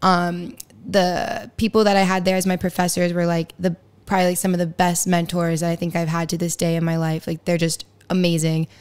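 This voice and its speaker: A woman in her twenties with a raspy voice, chatting as a podcast guest, with lots of likes and laughs. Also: on the low side, completely flat delivery, a little fast, hardly any pauses, a little mellow. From Pittsburgh area, US. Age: 20s. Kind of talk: casual interview chat.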